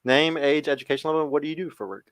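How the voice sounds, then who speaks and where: A man's voice: on the high side, rapid-fire, clean tone, dry recording, clean studio sound. A man casually interviewing as host of a dating show, informal and slangy in manner.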